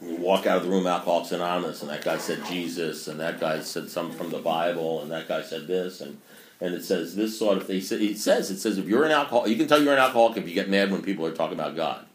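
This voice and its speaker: A man's voice: low voice, fast. A man in his sixties speaking at a recovery meeting, preachy and rambling, often mocking himself. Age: 60s. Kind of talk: meeting talk. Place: meeting room. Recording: echoey room.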